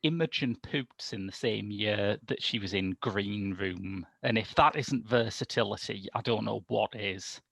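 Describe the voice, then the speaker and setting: A man's voice: lowish voice, a little slow, vocal fry, few pauses, a bit bright, dry recording. A man in his thirties talking as a film podcast host, articulate and wry, in conversational review style on a video call. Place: small domestic room, indoors.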